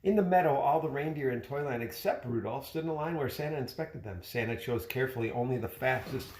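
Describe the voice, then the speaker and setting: A man reading a children's story aloud expressively, like a father sharing it with his child. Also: medium pitch. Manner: expressive, pausing to chat with the child. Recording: roomy, echoey home recording.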